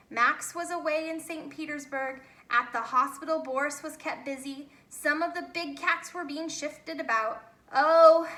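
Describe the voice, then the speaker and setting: A woman storyteller reading a children's story aloud in a deliberate, expressive way. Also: sits high. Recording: roomy, echoey home recording.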